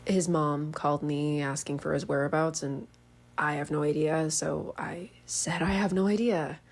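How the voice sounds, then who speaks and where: A woman's voice: sits low, steady tone, normal speed. A woman playing a guarded young ex-girlfriend in a found-footage horror film, acted naturalistically. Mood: guarded, matter-of-fact.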